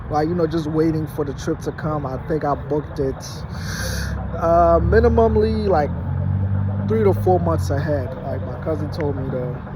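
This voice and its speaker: A man in his late twenties, born in Haiti, talking casually to his phone in a vlog with many fillers and restarts. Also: a little high. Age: late 20s. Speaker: vlogger. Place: parking lot at night, outdoors. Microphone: phone propped against a wall. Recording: noisy outdoor phone recording. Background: traffic and car noise.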